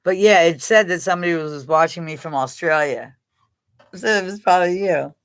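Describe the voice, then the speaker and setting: A woman's voice: fairly low pitch, brisk. Rambling chatty American woman livestreamer. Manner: rambling, chatty, laughs often, talks straight to viewers by name. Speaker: livestream host. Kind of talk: casual live chat with viewers.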